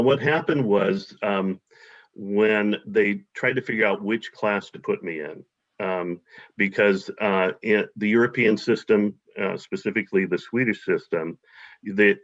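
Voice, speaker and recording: A man's voice: fairly low pitch, unhurried, a bit bright. A man being interviewed, talking hesitantly with many fillers and restarts. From United States. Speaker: guest. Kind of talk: casual interview. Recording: dull lo-fi audio.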